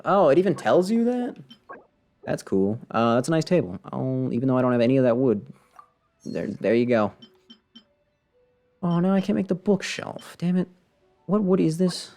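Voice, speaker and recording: A man's voice: medium pitch, very animated, normal speed, vocal fry, long pauses, a little mellow, soft background music. A man giving casual live commentary as a game streamer, rambling and muttering to himself with playful sarcasm.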